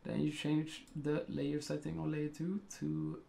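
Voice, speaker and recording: Middle-aged Swedish-accented man, unhurried and very gravelly, casual screen tutorial with faint room noise and keyboard clicks. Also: on the high side, monotone, warm tone. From Sweden. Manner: thinks aloud with many ums and uhs. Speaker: photo editing tutor.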